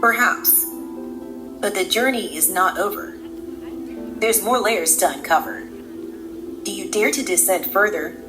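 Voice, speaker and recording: A woman's voice: medium pitch, natural voice. Woman voicing a solemn simulation guide over music. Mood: enigmatic. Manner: measured, solemn, enigmatic. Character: calm, ominous female guide of a virtual simulation. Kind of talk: radio drama acting.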